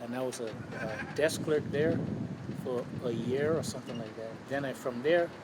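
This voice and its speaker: A man in his seventies with a Bahamian accent, frankly reflecting on his life in an interview, often pausing and restarting. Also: medium pitch. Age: 70s. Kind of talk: candid street interview. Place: outdoors on a city street. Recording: loud street traffic noise. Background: city traffic.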